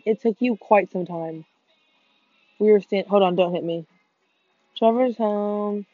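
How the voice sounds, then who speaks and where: A teenage girl co-hosting a casual gaming podcast with friends, talking fast, swearing and running herself down, with excited outbursts. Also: mid-range voice, no room sound. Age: teens. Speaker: podcast co-host. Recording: muffled dull sound, faint music behind.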